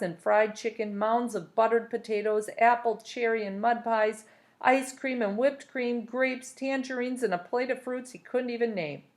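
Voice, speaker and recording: Woman reading a novel aloud. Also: mid-range voice. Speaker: storybook reader.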